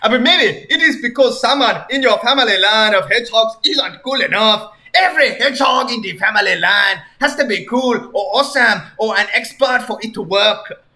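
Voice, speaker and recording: A man's voice: high-pitched, lively intonation, moderate pace, sharp tone, voice pushed up, heavily acted. A man voicing a pompous ancient male pharaoh king, grand and proclaiming, in a raised voice. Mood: dismissive.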